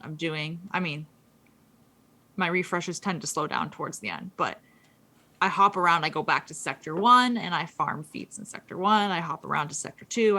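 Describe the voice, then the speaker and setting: A woman co-hosting a casual podcast, chatting quickly with lots of fillers and restarts. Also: fairly low pitch, sharp tone. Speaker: co-host. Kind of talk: casual gaming podcast chat.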